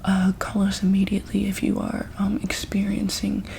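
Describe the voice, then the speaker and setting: A woman playing a calm, caring monster-hospital doctor in an ASMR roleplay, speaking softly and hesitantly in a breathy whisper. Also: mid-range voice, normal speed, slight background noise, lightly acted. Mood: gentle, reassuring. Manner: hesitant, gentle, soft-spoken.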